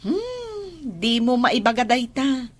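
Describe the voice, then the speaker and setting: A woman's voice: on the high side, very animated, slow pace, heavily acted. A woman acting as a teasing, outspoken friend giving love advice in an audio drama, with an Ilocano accent. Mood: teasing, advising.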